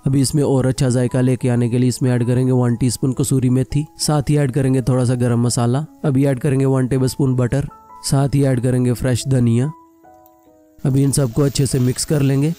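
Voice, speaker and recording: A man narrating a cooking video voice-over, explaining each step calmly and plainly. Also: medium pitch, fairly quick, soft background music. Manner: calm step-by-step instruction. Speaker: cooking channel host.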